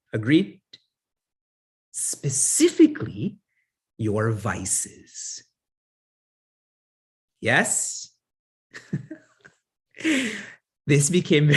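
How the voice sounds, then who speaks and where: A man's voice: mid-range voice, breathy edge. A man giving a spiritual discourse as a teacher, who asks the audience questions, answers them himself and chuckles often. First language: English. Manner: chuckles often while talking.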